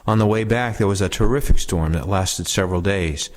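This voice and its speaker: A man's voice: low voice, fairly quick. A man reading first-person war recollections aloud as a reader of oral histories.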